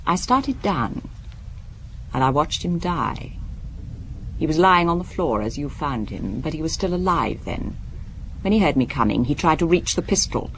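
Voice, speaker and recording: Disdainful suspect wife, radio play, low-pitched, swooping intonation, cool deliberate delivery. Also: female voice, expressive, a little hiss or hum, poor audio. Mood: grim. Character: cool, guarded, disdainful wife under police questioning. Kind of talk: radio drama acting.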